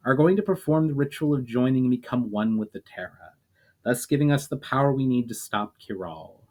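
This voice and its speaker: A man's voice: mid-range voice, expressive, a little fast, a little mellow, natural voice. A man voicing a resolute male leader who explains firmly and formally, patient but weary of repeating himself.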